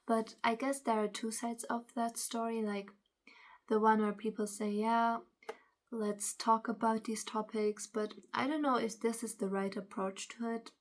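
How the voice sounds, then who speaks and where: A woman's voice: fairly high pitch, little pitch movement, unhurried. A woman who is not a native English speaker, with a non-native accent, giving casual opinion commentary and reading aloud, often stumbling over words and correcting herself. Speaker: commentary video host.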